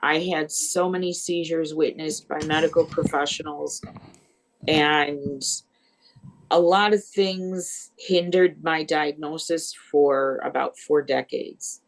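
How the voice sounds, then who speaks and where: A woman's voice: low voice, fairly even intonation, slow, a little hiss or hum, low-quality recording. A woman in her sixties in a casual group chat, talking in an earnest, reflective way. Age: 60s.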